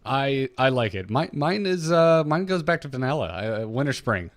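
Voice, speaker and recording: A man with an average-pitched voice chats as a podcast co-host. His delivery is informal and conversational. The recording is dry, with next to no room sound. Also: very animated, moderate pace, dry recording. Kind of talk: casual podcast chat about gaming.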